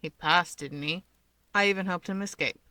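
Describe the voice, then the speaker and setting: Woman as grumbling villain big brother for storybook fiction: dry, bossy, teasing, bright-edged tone, close dry sound. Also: fairly low pitch, normal speed, no echo.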